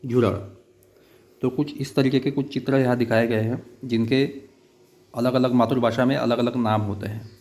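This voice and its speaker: A male schoolteacher talks through a children's picture lesson. His pitch sits in the ordinary middle range. He speaks in short, halting phrases and often stops on filler sounds. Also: fairly even intonation, a little mellow. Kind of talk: online class lesson.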